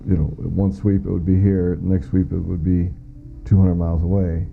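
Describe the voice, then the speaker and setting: A man's voice: low-pitched, level tone, normal speed, vocal fry, faint music behind. A middle-aged American man giving first-hand testimony in an interview, plain-spoken and matter-of-fact. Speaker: former air traffic controller giving witness testimony. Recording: muffled lo-fi audio.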